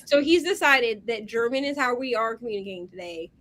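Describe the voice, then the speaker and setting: A woman with a high, bright-toned voice tells stories in an animated, chatty way, filling her sentences with "like." She co-hosts a relaxed conversation over a video call. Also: fairly quick. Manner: animated storyteller, heavy on "like" fillers. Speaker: co-host. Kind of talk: casual chat on a video call.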